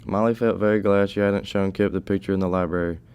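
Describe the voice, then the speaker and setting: A man's voice: sits low, fairly even intonation, quick pace, a little mellow, clear, resonant tone, highs cut off. A teenage male reading scripted story narration.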